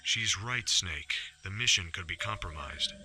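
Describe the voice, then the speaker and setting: An older military commander briefs with steady authority in a radio drama. His voice is deep and very forceful, over faint noise. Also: exaggerated voice, low-quality recording. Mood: concerned. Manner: firm, measured briefing.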